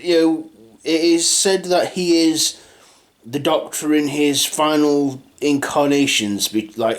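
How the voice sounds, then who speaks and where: A man giving an unscripted fan review in a rambling, hesitant way, often trailing off and starting again. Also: on the high side. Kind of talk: unscripted audio drama review.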